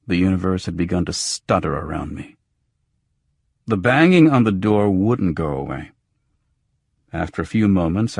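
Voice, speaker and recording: A man's voice: deep voice, vocal fry, a little mellow, no room sound, studio quality. A man reading a first-person noir audiobook, telling the story in a dramatic manner with emphatic swells. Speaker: audiobook narrator.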